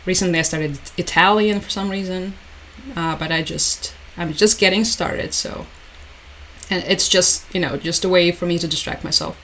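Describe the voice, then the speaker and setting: A woman's voice: lowish voice, fairly even intonation, normal speed, a bit bright, a little hiss or hum, poor audio. Somber woman hosting a live stream. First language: Russian. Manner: somber, hesitant, many fillers and restarts; resigned, repeats "unfortunately" and "as always". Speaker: livestream host. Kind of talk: live commentary while reading chat.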